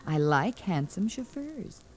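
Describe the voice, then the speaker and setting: Swooping, spoiled society debutante. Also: female voice, fairly low pitch, sing-song, takes it slowly, darkish tone, slightly rough, full character voice. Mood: flirtatious. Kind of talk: 1940s radio drama acting. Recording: noisy vintage 1940s radio recording.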